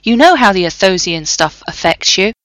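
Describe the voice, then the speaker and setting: A woman's voice: medium pitch, expressive, moderate pace, a bit bright, no room sound, lightly acted. Woman doing an exasperated wry soldier man. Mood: urgent. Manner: urgent, snapping.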